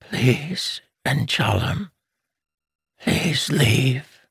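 A man voicing a dying winged mother creature in a storybook reading, weak, slow and pleading. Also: medium pitch, expressive, gravelly, fairly bright tone, husky, no echo, studio quality, pitched above the performer's own voice, full character voice. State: weak from illness.

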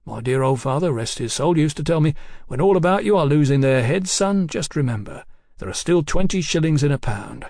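A man's voice: fairly high pitch, animated, a little mellow, no echo, clean studio sound. A man voicing an easy-going, talkative working-class bill-sticking boss in an audiobook, with folksy, colloquial phrasing. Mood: proud, placid. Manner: folksy, unhurried, colloquial, garrulous.

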